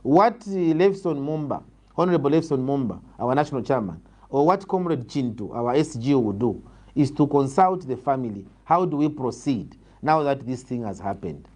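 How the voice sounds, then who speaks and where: A man with a Zambian accent answering political interview questions in a measured, formal, emphatic way. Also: medium pitch, animated, a little mellow. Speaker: political interviewee, party leader.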